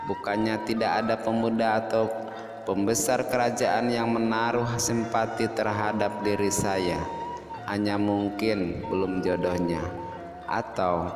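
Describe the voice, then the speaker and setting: Man voicing a measured, dignified queen in echoing reverb. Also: on the low side, close to an everyday voice. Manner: formal, measured, courtly speech. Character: dignified unmarried queen, candid, yearning, regal. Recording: echoey long reverb, music behind.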